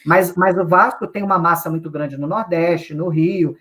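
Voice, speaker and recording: A man's voice: a little high, fairly even intonation, moderate pace, a bit bright. A man from Brazil with a Brazilian accent, a guest giving casual football analysis, opinionated and swearing casually, often restarting his sentences. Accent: Brazilian Portuguese.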